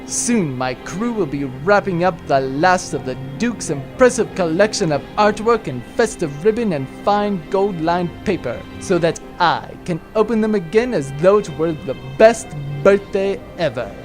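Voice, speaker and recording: An actor playing a grandiose, pompous space adventurer with theatrical delivery in a comic sci-fi audio drama. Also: male voice, high-pitched, animated, a little slow, hardly any pauses, music in the background. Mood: scheming.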